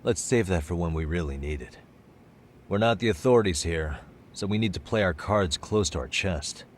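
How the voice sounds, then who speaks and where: An actor playing a cool-headed, wry private detective in a game dub, measured and dry. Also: male voice, low voice, animated, slight background noise. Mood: calm, earnest. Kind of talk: dubbed video game cutscene acting. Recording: music behind the voice.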